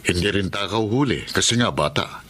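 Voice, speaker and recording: Low-voiced, swaggering crooked cop. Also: male voice, expressive, normal speed, a bit bright. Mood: bossy, scheming. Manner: swaggering, colloquial, bossy. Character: corrupt, greedy, lecherous veteran policeman. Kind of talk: radio drama acting. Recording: music under the voice.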